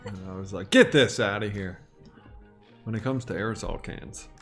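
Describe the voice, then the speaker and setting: A man hosting a casual recovery live stream from home, greeting viewers by name and trading deadpan jokes. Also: lowish voice, normal speed, faint music behind.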